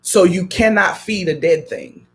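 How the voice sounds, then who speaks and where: A man's voice: high-pitched, very animated, unhurried, gravelly. A man on a radio talk show, speaking in a preacherly way and building his points with strings of repeated questions. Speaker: guest.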